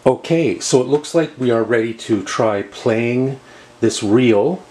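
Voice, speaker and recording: A man's voice: medium pitch, slow pace, a little mellow. A man giving a casual, methodical demonstration of equipment as a review host, explaining step by step. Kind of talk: casual product demonstration narration. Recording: clear background noise.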